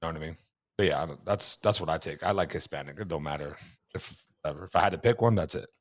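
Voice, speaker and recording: A man with a very low voice chats casually as a podcast panelist. He is blunt and offhand, swearing freely, in a dry, close recording. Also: fast talker, no room sound. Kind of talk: casual chat.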